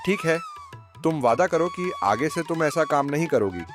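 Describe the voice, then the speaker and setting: A man's voice: a little high, expressive, normal speed, soft background music, natural voice. A man voicing a shrewd farmer husband in a moral story cartoon, theatrical and plaintive. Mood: stern. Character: shrewd farmer husband feigning injury, patient.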